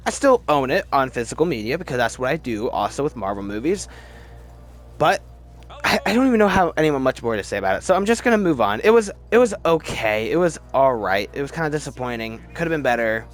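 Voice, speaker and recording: A man with a fairly high voice gives casual, opinionated commentary as host of a ranking video. His delivery swings widely in pitch and rambles, often halting and repeating words. Music plays behind him. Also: fairly bright tone. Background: background music.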